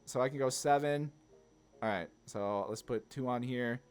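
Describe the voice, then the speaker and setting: A man's voice: medium pitch, normal speed, clean tone. Casual man thinking aloud, music behind. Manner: informal, rambling, thinking through moves aloud. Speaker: gaming content creator, player.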